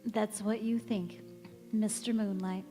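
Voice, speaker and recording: An actress playing a gentle, devoted elderly wife and mother in a stage radio play, wistful and serenely weary. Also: mid-range voice, steady tone, slow, lightly acted. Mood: affectionate. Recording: echoey hall, music behind.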